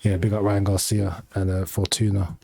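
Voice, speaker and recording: Sniffly podcast host, low mellow voice, loose slangy chatter, close dry mic. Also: male voice, level tone, moderate pace, vocal fry, husky, no room sound. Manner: slangy, rambling, laughs easily. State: sniffly with hay fever. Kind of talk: casual chat.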